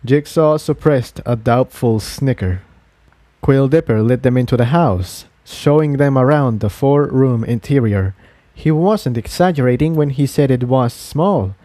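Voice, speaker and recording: A male audiobook narrator with a mellow, relaxed voice at medium pitch. He reads scripted fiction, and the sound is close and very dry. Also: unhurried, no room sound.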